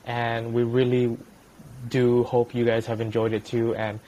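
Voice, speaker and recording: A young man co-hosting a podcast, talking in a loose, halting way with frequent restarts and repeated words. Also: mid-range voice, completely flat delivery, dark tone, muffled recording. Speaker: podcast co-host. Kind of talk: casual podcast chat.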